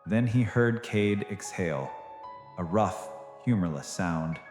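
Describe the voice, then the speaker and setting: A man's voice: deep voice, lively intonation, slow pace, darkish tone, reverberant, big-room sound. A man reading scripted, dramatic science fiction narration as the narrator of an audio drama. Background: music.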